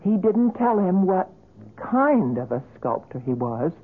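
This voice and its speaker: An actress playing an earnest, caring friend with a husky voice in a 1970s radio drama. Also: female voice, fairly low pitch, very animated, measured pace. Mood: concerned. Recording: tinny old radio recording.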